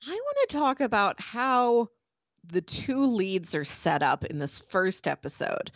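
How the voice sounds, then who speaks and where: A woman's voice: mid-range voice, sing-song, a little slow, no room sound. A woman co-hosting a podcast, chatting casually in a wry, amused way and laying out points in short sentences. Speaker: podcast co-host. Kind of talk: casual podcast chat and recap.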